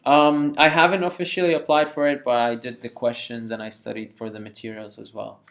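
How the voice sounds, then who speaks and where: Candid young male doctor, mid-pitched. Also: little pitch movement, a little fast, vocal fry, a bit bright. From Iraq. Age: 20s. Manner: friendly and candid, laughs lightly. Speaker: junior doctor, interview guest. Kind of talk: relaxed conversational answers.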